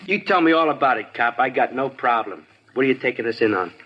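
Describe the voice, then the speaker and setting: A man's voice: mid-range voice, animated, fast, muffled recording, a little hiss or hum, natural voice. An actor playing a sneering, mouthy young male drug suspect in a 1950s radio crime drama. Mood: defiant. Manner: mouthy, defiant, taunting.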